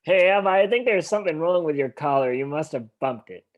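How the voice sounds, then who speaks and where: Man as wary, highish-voiced Rottweiler henchman. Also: expressive, brisk, dull audio, close to an everyday voice. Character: wary Rottweiler henchman, deferential to his boss. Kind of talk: animated film script table read.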